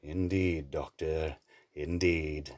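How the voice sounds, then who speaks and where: A man's voice: a bass voice, fairly even intonation, slow pace, darkish tone, dry recording, studio quality. A man playing a suave, menacing villain in an audio drama, mannered, condescending and mockingly polite. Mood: cool, commanding.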